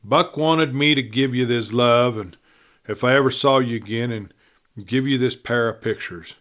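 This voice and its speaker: A man's voice: medium pitch, moderate pace, fairly bright tone, lightly acted. Man reading as a grave, hardened young outlaw. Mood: somber. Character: wounded, hardened young outlaw, gloomy and plain-spoken.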